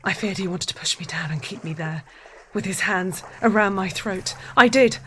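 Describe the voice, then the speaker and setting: An actress playing a haunted, unhinged wife in a dramatic audio drama monologue, deliberate and intense, repeating phrases. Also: female voice, mid-range voice, a little hiss or hum, natural voice. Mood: intense, fearful. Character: haunted, unhinged wife narrating a violent confession.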